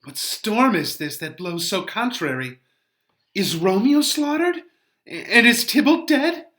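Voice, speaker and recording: A man's voice: high-pitched, lively intonation, takes it slowly, fairly bright tone, heavily acted. A man voicing an anguished, passionate young Shakespearean lady, declaiming verse theatrically with fierce emotion. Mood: despairing.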